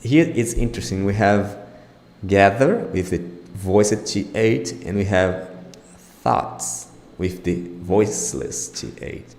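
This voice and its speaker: A man's voice: fairly low pitch, takes it slowly, a little mellow. A man giving an informal pronunciation lesson as host, speaking in an encouraging, reassuring manner. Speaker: pronunciation teacher and show host. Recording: echoey room with long reverb.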